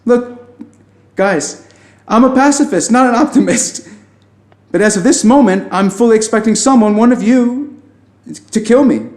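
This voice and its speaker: A man's voice: high-pitched, a little slow, long pauses, a little mellow. A man playing an affable, nervous alien who poses as human, a pacifist pessimist speaking in wry, rambling, conversational asides in an audio drama. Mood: frank, resigned. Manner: informal, rambling, self-interrupting, wry asides. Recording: roomy echo, music underneath.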